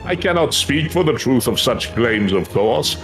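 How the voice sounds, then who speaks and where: A man's voice: mid-range voice, animated, normal speed, natural voice. A man voicing a courtly, earnest fantasy craftsman, devout and grateful, speaking quest dialogue in formal, flowery phrasing. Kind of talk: voiced reading of fantasy game quest dialogue. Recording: music behind the voice.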